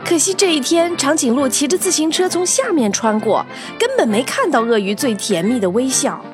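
A woman narrating a children's story in an expressive, animated scripted style, acting out the tale. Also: sits high, normal speed, over music.

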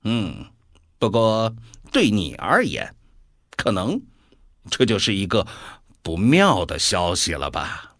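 An actor playing a sardonic, world-weary devil in an audio drama, musing deliberately with sighs. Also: male voice, lowish voice, huge pitch swings, a bit bright, no room sound, studio quality, exaggerated voice. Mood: musing, menacing.

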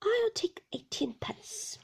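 A woman's voice: very high-pitched, sing-song, takes it slowly, vocal fry, in a whisper, voice pushed up, heavily acted. A woman voicing a frightened, humble young boy in a storybook, speaking in a timid, trembling way. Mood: timid relief.

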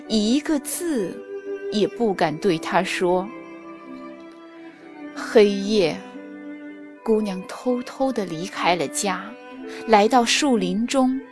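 Soft music plays under a woman reading a children's fairy tale. She speaks standard Mandarin in a lightly high voice. Her pace is very slow, with each phrase carefully enunciated and expressively shaped, like a teacher telling a story. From China. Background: music under the narration.

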